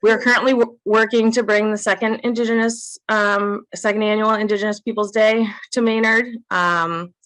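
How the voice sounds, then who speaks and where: A woman gives a prepared committee announcement over a remote call. Her voice sits at a medium pitch, and the sound is dry with almost no room echo. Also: fairly even intonation, normal speed, hardly any pauses, fairly bright tone. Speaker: subcommittee representative. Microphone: video call.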